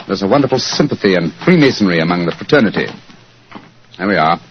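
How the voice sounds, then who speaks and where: An actor playing a commanding English gentleman detective with an upper-class British accent, clipped and decisive, in 1940s radio style. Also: low voice, lively intonation, fast. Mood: assured. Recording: noisy, muffled 1940s radio recording.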